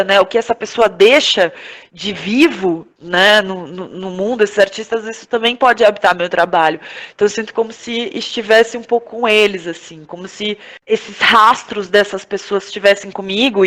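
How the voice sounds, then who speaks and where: A Brazilian woman giving reflective interview answers, thinking aloud in a halting, searching way with frequent tag questions. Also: medium pitch, a bit bright. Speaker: podcast guest, playwright, actress and director.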